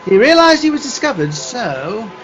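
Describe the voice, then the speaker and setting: A pompous old-fashioned gentleman in a comic radio play. The voice is very high and swoops wildly, florid and theatrical, on slightly muffled audio. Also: sing-song, moderate pace, soft background music, heavily acted. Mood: pompous, astonished.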